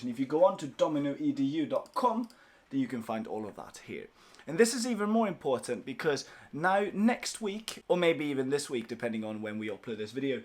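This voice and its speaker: A young man whose first language is Swedish, giving viewers a casual, upbeat update from his small office, chatty and grateful with plenty of fillers. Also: mid-range voice. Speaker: language course founder.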